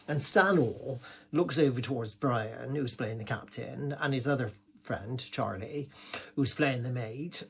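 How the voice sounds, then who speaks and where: A man's voice: mid-range voice, measured pace, gravelly, husky. A man with an English accent performing a gossipy comic monologue, rambling along in an indignant village-gossip manner.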